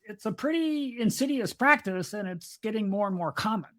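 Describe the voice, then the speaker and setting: A woman's voice: medium pitch, expressive, normal speed, a bit bright. A woman co-hosting a podcast in casual discussion, explaining ideas at length and drifting into side topics. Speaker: podcast co-host.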